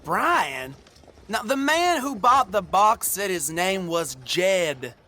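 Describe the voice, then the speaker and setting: A man playing a folksy, earnest fellow in a supernatural Western audio drama, unhurried but quick to protest. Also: high voice, sing-song, bright, forceful tone, slight background noise, full character voice. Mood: bewildered. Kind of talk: scripted audio drama acting.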